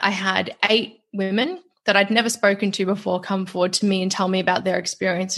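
A woman's voice: mid-range voice, a bit bright. An Australian woman, a young adult, talking openly and earnestly as a guest on a podcast interview. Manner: talkative, earnest, open.

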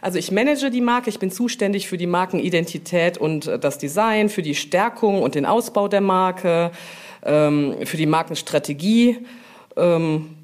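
A woman answering interview questions informally, explaining things with frequent pauses to think. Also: lowish voice, a little mellow. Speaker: brand manager, interview guest.

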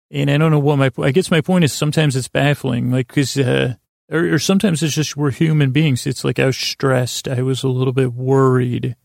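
A man hosting a sleep podcast in a creaky, tired voice, rambling haltingly through tangents and often interrupting himself. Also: medium pitch, darkish tone, dry recording, clean studio sound. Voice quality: creaky, croaky voice. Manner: meandering, halting, self-interrupting, trailing off. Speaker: podcast host.

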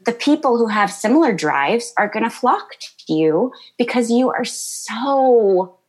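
Woman yoga teacher, high-pitched and bubbly, talks casually in an interview. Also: big pitch swings, a little slow. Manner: bubbly, laughs often, many fillers. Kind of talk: interview answers, casual chat.

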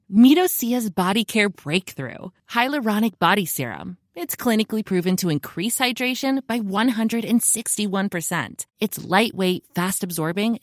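A woman narrates a scripted advertisement. Her voice sits at a middle pitch. The recording is very dry, without room echo.

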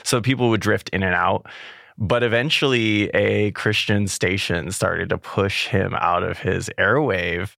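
Upbeat male podcast host, low voice, close dry studio mic. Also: fairly even intonation, unhurried, vocal fry, a bit bright, dry recording. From California. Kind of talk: scripted podcast narration and interview.

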